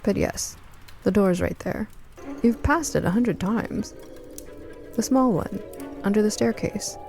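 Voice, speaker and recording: A woman's voice: medium pitch, unhurried, darkish tone, soft background music, lightly acted. A woman voicing a folksy, wry older woman who startles easily, in a radio drama. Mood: wry, teasing.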